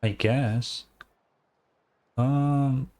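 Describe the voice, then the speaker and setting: A man's voice: medium pitch, steady tone, extremely slow, a little mellow. A man giving casual live commentary as he reacts to a video game, laconic and wry.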